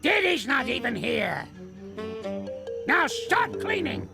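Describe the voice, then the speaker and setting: An actor playing a cranky old male ape in a cartoon, gravelly and crotchety, bossing others around and boasting. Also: very high-pitched, sing-song, slow pace, full character voice. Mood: angry shouting. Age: older. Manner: insulting, bossy, boastful. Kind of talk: cartoon voice acting. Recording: cartoon soundtrack with music behind.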